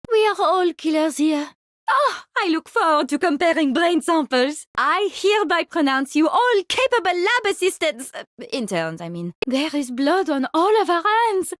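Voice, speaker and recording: A woman's voice: extremely high pitch, very animated, normal speed, fairly bright tone, no room sound, studio quality, exaggerated voice. An actress playing a playful, morbid woman doctor in a video game, theatrical and gleefully clinical. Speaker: game character voice.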